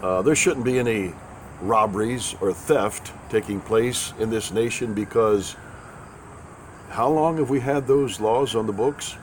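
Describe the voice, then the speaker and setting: A very low-pitched man, raspy and congested from a head cold, talks in a folksy, rambling way with a sarcastic edge. A faint hiss of background noise sits under his voice. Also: unhurried. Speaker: host. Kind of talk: casual spoken commentary.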